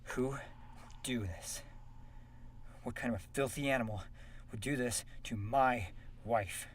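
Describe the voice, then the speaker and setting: A man playing a beaten, devoted prisoner husband in an audio drama, speaking in a strained, halting voice. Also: medium pitch, natural voice. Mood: quietly furious. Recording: distant from mic, faint noise.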